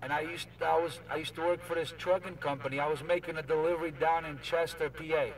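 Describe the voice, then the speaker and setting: A man's voice: on the high side, fairly even intonation, fast talker. A man talking over CB radio in a casual, storytelling way. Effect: CB radio transmission. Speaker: CB radio operator, truck driver. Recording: distant, noisy CB radio.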